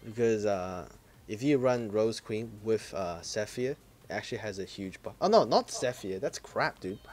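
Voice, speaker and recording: A man co-hosting a casual gaming podcast, relaxed and offhand, swearing casually and trailing off while he hunts for words. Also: fairly low pitch, normal speed. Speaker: podcast co-host.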